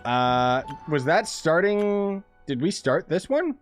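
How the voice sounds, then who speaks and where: A man's voice: on the high side, takes it slowly, faint music behind. Chatty young man streaming a game. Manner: chatty, relaxed, thinks aloud. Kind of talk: casual live-stream commentary.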